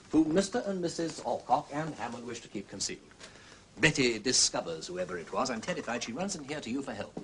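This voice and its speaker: A man's voice: mid-range voice, a bit bright, slight background noise. A man playing an urbane, jaunty aristocratic amateur detective with an upper-class English accent in a radio drama. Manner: jaunty, clipped, old-fashioned exclamations.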